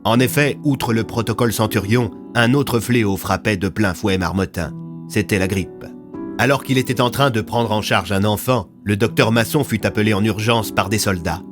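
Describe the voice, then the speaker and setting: Male narrator for serialized audio fiction, lowish voice, scripted storytelling over soft music. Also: moderate pace.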